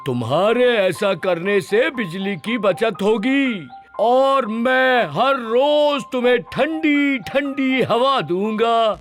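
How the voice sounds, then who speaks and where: A man voicing a stern but fatherly talking ceiling fan in a children's cartoon, with a theatrical, drawn-out delivery. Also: very high voice, expressive, a bit bright, soft background music, heavily acted. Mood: fatherly, advising.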